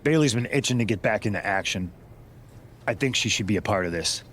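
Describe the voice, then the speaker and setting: A man's voice: on the low side, animated, a little fast, fairly bright tone, slight background noise. A man voicing a calculating wrestler who leads a rebel faction, firm and persuasive in video game cutscene dialogue. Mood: confiding, persuasive.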